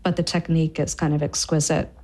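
A woman's voice: deep voice, level tone, fast talker, a little mellow. A woman cookbook author talking enthusiastically about a recipe in an interview. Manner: enthusiastic, repeats words while thinking. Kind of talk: casual interview.

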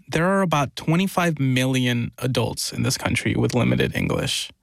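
A man's voice: medium pitch, wildly swooping pitch, moderate pace, gravelly, dry recording. A man reporting on a conversational news podcast, explaining things in a lightly amused way.

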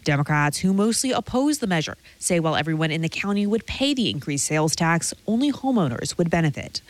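A woman's voice: on the low side, sing-song, a little fast, no room sound. A woman reading a scripted radio news report.

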